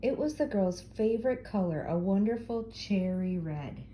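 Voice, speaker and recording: An older woman reads a children's story aloud. Her tone is very mellow and dark, at a middling pitch, in the role of storyteller. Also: expressive, moderate pace, slight background noise, rough recording. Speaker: storyteller grandmother reading to children.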